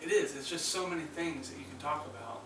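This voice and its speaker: A man's voice: a little high, fast talker, noisy background. A man co-hosting a film podcast in casual, conversational chat. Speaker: podcast co-host. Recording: echoey room, distant mic, background voices.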